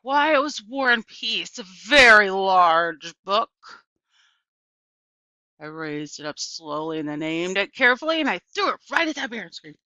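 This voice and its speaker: A woman's voice: medium pitch, big pitch swings, unhurried, pushed and bright, no room sound, muffled recording. A woman voicing an elderly small-town librarian in a children's storybook, a folksy, emphatic storyteller who repeats herself and turns dramatic and wistful. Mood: dramatic, defiant. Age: older. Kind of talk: children's storybook read aloud.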